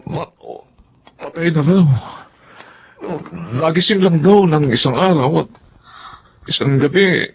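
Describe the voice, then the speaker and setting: An actor playing a sombre grown son bearing sad news in a Filipino audio drama. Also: male voice, on the high side, unhurried, pauses often, slight background noise. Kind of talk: Filipino radio drama acting.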